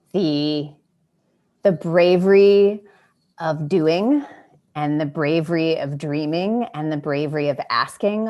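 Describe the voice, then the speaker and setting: A woman's voice: low voice, darkish tone. A woman playwright giving an impromptu heartfelt speech on a video call, effusive and repeating phrases for emphasis.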